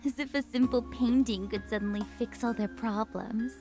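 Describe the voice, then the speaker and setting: A woman voicing a timid, gentle, earnest teenage girl in a visual novel, hesitant and soft-spoken. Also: fairly high pitch, fairly even intonation, moderate pace, music in the background, close to an everyday voice.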